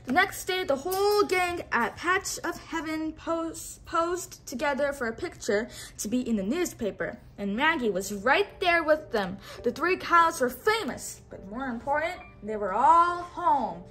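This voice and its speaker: A woman's voice: sits high, lively intonation, unhurried, hardly any pauses, sharp tone, soft background music. A woman hosting a children's read-along, reading a storybook aloud in a teacherly way and inviting listeners to repeat words.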